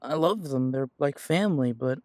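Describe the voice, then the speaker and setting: A man playing an insecure, defensive werewolf in an audio roleplay, speaking in a halting, stammering way as if confiding in someone close. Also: medium pitch, lively intonation, a little mellow, dry recording, lightly acted. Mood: worried, confiding. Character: insecure pure-blood male werewolf, self-doubting, defensive. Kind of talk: audio roleplay drama, one side of an intimate conversation.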